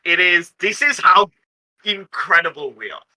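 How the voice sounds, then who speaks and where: A man playing a tabletop game, chatting casually and haltingly with asides spoken in character. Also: sits high, bright, forceful tone, rough recording.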